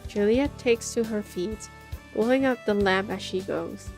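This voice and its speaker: A woman's voice: medium pitch, measured pace, darkish tone. A woman streamer reading a visual novel aloud, mixing narration with casual reactions. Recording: game music faintly behind the voice.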